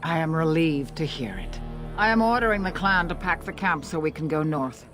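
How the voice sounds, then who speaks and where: A woman playing a grave, commanding older elf healer who leads her clan in a fantasy game, speaking formally and with measured solemnity. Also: deep voice, expressive. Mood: resolute. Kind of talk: fantasy game dialogue acting. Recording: music behind the dialogue. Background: background music.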